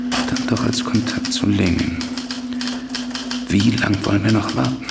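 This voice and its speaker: An actor playing a cool, calculating political schemer dictating in a German radio drama, deliberate and dry. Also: male voice, low-pitched, gravelly, very noisy. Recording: loud typewriter clatter over voice.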